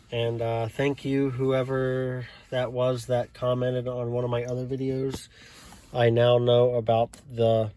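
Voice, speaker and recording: American male hobbyist, unhurried, low-key delivery, thinking aloud on camera, faint ambient noise behind him. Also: mellow. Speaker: hobbyist showing a CB radio install. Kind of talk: casual unscripted video walkthrough. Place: inside a parked car.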